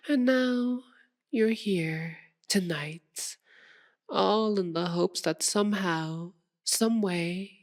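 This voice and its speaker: A sadistic vampire noblewoman for ASMR roleplay, speaks in an unhurried, lowish purr. She is possessive and seductive, toying with her prey through mocking endearments and breathy, menacing murmurs. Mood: mocking, condescending. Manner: slow, teasing, purring drawl with breathy whispers and mocking endearments. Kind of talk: ASMR roleplay monologue, theatrical.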